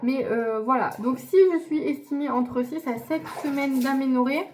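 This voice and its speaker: A woman's voice: high-pitched, fairly even intonation, normal speed, slight background noise. A young woman from France talking casually to camera in a diary vlog, chatty, with many fillers and restarts. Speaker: vlogger.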